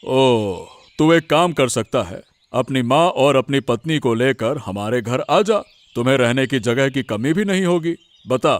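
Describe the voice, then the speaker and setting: A man's voice: mid-range voice, expressive, measured pace, few pauses, no echo, natural voice. Man playing a kindly, expansive older village boss. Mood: genial. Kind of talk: Hindi cartoon family drama.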